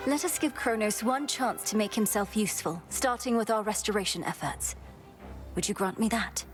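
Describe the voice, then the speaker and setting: A woman's voice: medium pitch, moderate pace, music in the background, lightly acted. A woman playing an earnest, composed young princess of the underworld in a video game, speaking somewhat formally. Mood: resolute.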